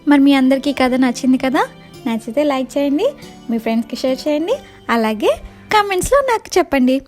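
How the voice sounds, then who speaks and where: Female children's storyteller, high register, animated and direct to young listeners, light music bed. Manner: animated, addresses children directly, mixes in English words. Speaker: storyteller and channel host. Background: faint music.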